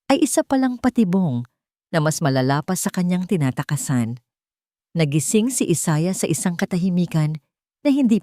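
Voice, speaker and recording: A woman's voice: sits low, mellow, no room sound, clean studio sound. A woman reading a scripted, dramatic love story as a narrator.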